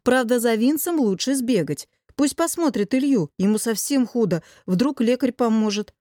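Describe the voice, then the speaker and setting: Woman playing a young heroine, higher-pitched, easily exasperated. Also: lively intonation, moderate pace, mellow, dry recording, clean studio sound, natural voice. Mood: hurried.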